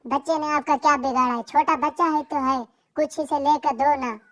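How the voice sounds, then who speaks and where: Female actor as cartoon wife, high voice, suspicious, questioning, nagging, insistent. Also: steady tone, normal speed, a bit bright. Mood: scolding. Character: suspicious, nagging, protective young wife and mother. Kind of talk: cartoon dialogue.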